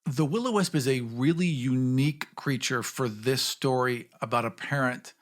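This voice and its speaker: A man's voice: mid-range voice, normal speed, a bit bright. A man talking casually and reflectively on a podcast panel, telling things in a vivid storytelling way.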